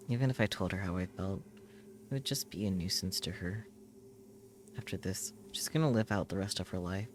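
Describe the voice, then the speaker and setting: A man with a low voice narrates a visual novel in the first person. He reads solemnly in short, measured phrases, with faint noise and ambience behind him. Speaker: streamer reading a visual novel aloud.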